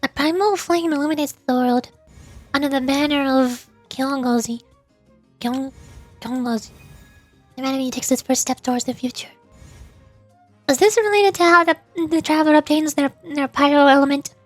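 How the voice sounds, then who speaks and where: A woman's voice: high voice, fairly even intonation, normal speed, pauses often, no room sound. A woman livestreaming a game, reading its text aloud and muttering her own reactions, with game music behind her. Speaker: streamer.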